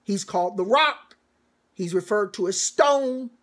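A middle-aged man giving a sermon-like commencement address as a church elder, emphatic and deliberate, with repeated phrases and dramatic pauses. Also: high voice, animated, fairly bright tone. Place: small home study, indoors.

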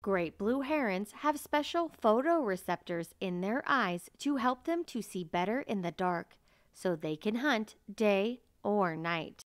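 A woman reading a children's nature picture book aloud as narrator, in a measured, carefully enunciated way. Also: medium pitch, animated, no room sound, clean studio sound.

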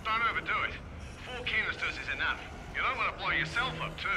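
A man's voice: sits high, lively intonation, quick pace. An actor playing a cautious male survivor in a zombie game, giving instructive advice. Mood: cautioning. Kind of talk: video game cutscene acting. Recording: loud vehicle noise over voice.

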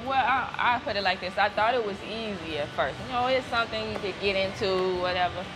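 A woman's voice: mid-range voice, brisk, music in the background, low-quality recording. A woman guest chatting casually, giggly and easygoing, with loose slang. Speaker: guest, gym partner. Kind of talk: casual chat.

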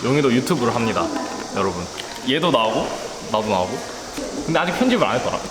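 Young male vlogger, animated friendly chat, roomy sound, loud music behind. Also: mid-range voice, quick pace. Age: young adult. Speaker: vlogger, university student. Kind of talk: casual chat among friends.

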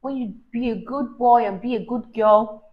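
A young woman reading a story aloud to a child in an expressive, encouraging, teacherly way, often prompting with questions. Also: a little high, moderate pace, lo-fi sound. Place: small indoor room on a sofa. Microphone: lapel mic.

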